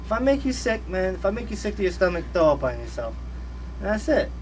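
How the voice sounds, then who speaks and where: Brief: high-pitched man, radio guest, slangy and repetitive, a little off-mic. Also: quick pace, distant mic, slight background noise. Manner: laid-back, animated, slangy, repeats phrases. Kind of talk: casual radio interview chat. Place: radio studio.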